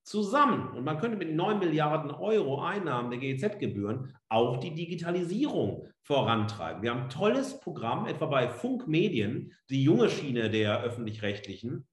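A man's voice: on the high side, very animated, normal speed, hardly any pauses. A middle-aged man giving a free-spoken lecture as a professor, emphatic and colloquial, with rhetorical questions. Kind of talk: public lecture, spoken freely. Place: indoor room, echoey. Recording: echoey room sound.

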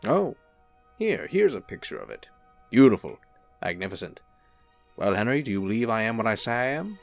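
An actor playing a genial, unflappable angel in an audio drama, reassuring and playful. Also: male voice, fairly low pitch, big pitch swings, normal speed, no echo, faint music behind. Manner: smooth-mannered, reassuring, playful. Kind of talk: radio-play style drama acting.

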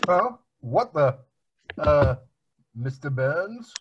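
A man's voice: on the high side, big pitch swings, extremely slow, slight background noise, exaggerated voice. A man voicing a dim, amiable family man, bumbling and rambling, in an amateur cartoon table read. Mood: nervous. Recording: muffled, lo-fi video call.

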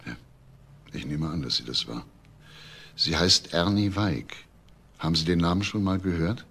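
A man's voice: low voice, animated, moderate pace, vocal fry, fairly bright tone, breathy edge, exaggerated voice. A man playing a probing, world-weary police inspector in a crime radio drama, politely insistent, with hesitant repeated questions. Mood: questioning, polite. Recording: faint background noise.

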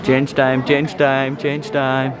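An excited young man with an Indian accent talks to his camera as he travels, chatty and quick to mix in English. His voice sits at an everyday middle pitch. Loud crowd chatter surrounds him in busy cable cars and a restaurant. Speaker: travel vlogger. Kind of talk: casual travel vlog narration.

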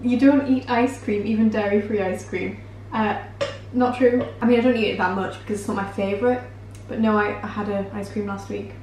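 A woman's voice: mid-range voice, fairly even intonation, fairly quick, a little mellow. A woman in her twenties with a British accent, chatting casually and self-deprecatingly to camera as a vlogger. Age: 20s. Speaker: vlogger answering viewer assumptions while baking. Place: home kitchen, indoors. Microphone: camera mic at a short distance. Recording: roomy, distant mic, faint background noise.

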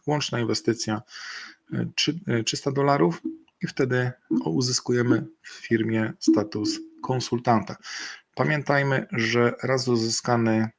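A middle-aged man presenting slides in a webinar over a video call, halting and filling pauses with hesitations. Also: medium pitch. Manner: halting, many fillers and restarts. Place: indoor room. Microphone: video call webcam.

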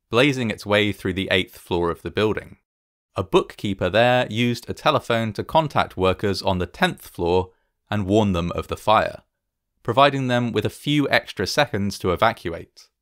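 Low-pitched man narrating a solemn documentary. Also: a bit bright, no room sound, clean studio sound. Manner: measured, solemn, formal delivery.

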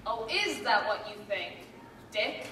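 An actress playing a spoiled, bratty young actress who whines and snips at people. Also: female voice, on the high side, big pitch swings, slow, bright, forceful tone, exaggerated voice. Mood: snippy. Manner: whiny, spoiled, snippy. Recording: noisy echoey stage from far off.

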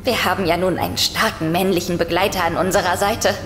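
A woman's voice: fairly low pitch, fairly even intonation, a little fast, lightly acted. An actress as an uneasy young woman, echoing. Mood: matter-of-fact. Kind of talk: German audio drama acting. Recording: echoey reverb, faint music.

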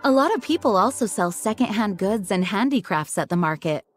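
A woman voicing an earnest, dutiful young knight leader in an anime video game, speaking in a composed, formal, measured way. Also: mid-range voice, animated, faint music behind, natural voice. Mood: courteous, informative.

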